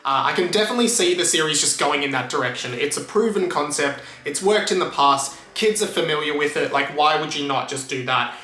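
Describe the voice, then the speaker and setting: An Australian man gives casual, enthusiastic commentary as a YouTube host. His voice sits slightly high and has a crisp, bright edge. The room adds noticeable echo, and his delivery stays conversational. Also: animated, brisk, few pauses. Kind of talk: casual pop culture commentary to camera. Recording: roomy echo, music underneath.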